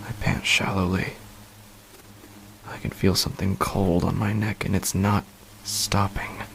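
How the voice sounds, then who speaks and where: A man playing a frightened, sleepless husband in a horror story, narrating haltingly in a hushed, breathy, gravelly voice that drops toward whispers. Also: low voice, full character voice. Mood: hushed dread. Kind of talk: scripted horror fiction narration, first person.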